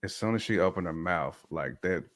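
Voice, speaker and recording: A man with a distinctly low voice talks casually as a talk show guest. His phrasing is colloquial and slangy, and he speaks in an opinionated, conversational way. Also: normal speed, a bit bright.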